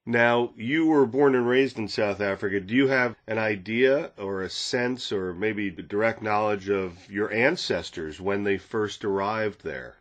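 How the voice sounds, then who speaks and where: A man's voice: lowish voice, measured pace, few pauses. A man hosting a podcast, asking long conversational questions of a guest. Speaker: podcast host. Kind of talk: interview.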